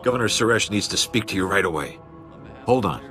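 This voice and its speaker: A helpful male government aide voiced by an actor with a fairly low voice. He sounds businesslike, in game cutscene style, over clear music. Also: lively intonation, fairly quick, natural voice. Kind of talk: video game cutscene acting.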